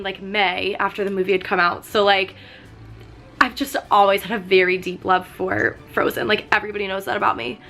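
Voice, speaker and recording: Young woman, slightly hoarse, casual chatty vlog, lots of "like", bright voice, faint background music. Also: mid-range voice. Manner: chatty, full of "like" and "um". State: slightly hoarse, getting sick. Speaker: vlogger. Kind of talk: casual chat while doing makeup. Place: sitting on a fur rug at home.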